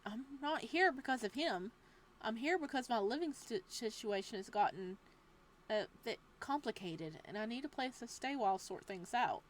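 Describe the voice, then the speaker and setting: A woman's voice: a little high, lively intonation, moderate pace, a little hiss or hum, natural voice, rough recording. A woman voicing the nervous young protagonist of a horror game, speaking hesitantly and defensively.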